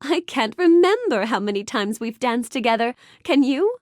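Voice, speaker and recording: A woman's voice: on the high side, very animated, normal speed, a little mellow, no echo, studio quality. A woman voicing a gracious, bookish young storybook heroine in a video game, speaking politely and expressively with careful enunciation. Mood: affectionate. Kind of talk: scripted video game character lines.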